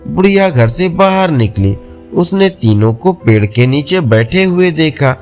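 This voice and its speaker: A man's voice: a little high, expressive, unhurried, a little mellow, soft background music. A man narrating a scripted folk tale as a storyteller.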